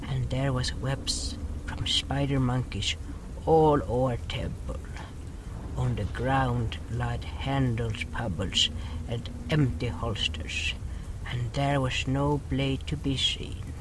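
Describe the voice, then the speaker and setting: A man's voice: mid-range voice, fairly even intonation, slow, noisy background, natural voice. A man playing an earnest creature telling its story in an audio drama, solemn, with broken phrasing that drops articles. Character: earnest storytelling creature, small beside its raging ally.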